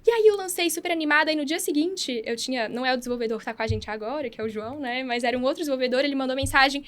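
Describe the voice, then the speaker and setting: A woman's voice: high-pitched, fairly bright tone. A woman doctor hosting a podcast, telling stories enthusiastically in a casual, chatty way with lots of fillers. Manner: enthusiastic, fast storytelling with many fillers. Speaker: podcast host, radiotherapy doctor and app founder.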